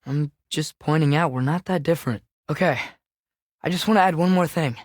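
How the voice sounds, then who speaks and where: A man's voice: on the high side, a little fast, no echo, studio quality, lightly acted. A young male actor playing an earnest, eager teenage boy adventurer in a video game, speaking conversationally with small hesitations. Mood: earnest, casual. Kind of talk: video game character acting.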